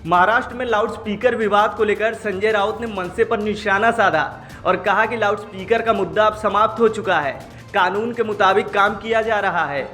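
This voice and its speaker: A man's voice: sits high, moderate pace, bright, forceful tone. A man reading scripted news narration as a news presenter. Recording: music behind the voice. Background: background music.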